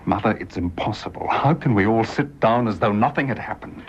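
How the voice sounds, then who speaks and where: An actor playing an earnest, troubled, well-bred British son in a radio drama. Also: male voice, lowish voice, fairly quick, husky. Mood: protesting. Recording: dull, noisy radio drama recording.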